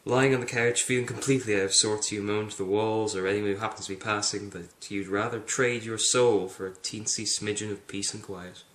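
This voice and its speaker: Man, lowish pitch, roomy echo, theatrical book reader, speaks directly to listener. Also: steady tone, brisk, few pauses, a bit bright. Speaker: book reviewer. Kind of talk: dramatic book reading. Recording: roomy, echoing indoor sound.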